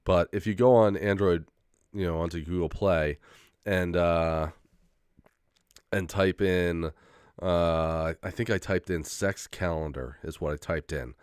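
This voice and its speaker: Young man, very deep voice, smooth and resonant, rambling casual talk, lots of fillers, swears casually, getting over a cold, dry close sound. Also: a little slow, a little mellow, dry recording. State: recovering from a head cold, occasional cough. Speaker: vlogger.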